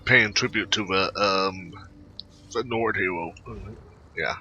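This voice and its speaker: Halting man, bright forceful voice, muffled mic. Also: low voice. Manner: halting, rambling. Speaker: gameplay video host. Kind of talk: casual game commentary. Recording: muffled home mic with faint noise.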